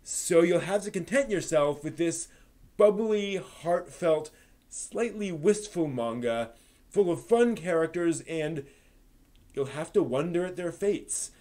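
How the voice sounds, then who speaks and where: A man hosting a live stream, giving a manga review in an articulate, expressive way. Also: fairly high pitch, slow. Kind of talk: manga review on a live stream, part prepared, part casual chat.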